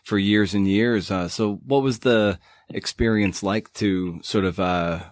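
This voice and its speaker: A man's voice: on the low side, unhurried, no echo. A man hosting a podcast, speaking close to the microphone. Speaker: podcast host, interviewer.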